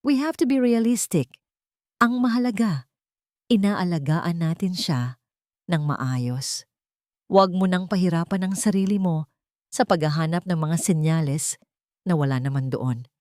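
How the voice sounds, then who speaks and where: A woman's voice: fairly low pitch, animated, unhurried, pauses often, darkish tone, no echo. Narrator playing a cold wife, woman, Filipino accent, controlling, cool admonishing manner, audio drama, dry sound. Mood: cold reassurance.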